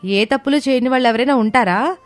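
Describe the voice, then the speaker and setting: A woman's voice: high-pitched, quick pace, dry recording, faint music behind, lightly acted. A woman voicing a loyal daughter of about thirty, earnest and protective, in a moral story. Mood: angry, indignant. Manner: indignant, protective of her father.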